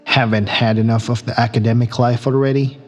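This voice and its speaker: An actor plays a pragmatic male crewman in a science fiction audio drama. His voice is mid-pitched, with a dry, reasoning manner that turns exasperated. Faint music runs underneath. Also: little pitch movement, brisk, darkish tone, natural voice. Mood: teasing, easygoing. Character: wry, pragmatic male space crewman and partner.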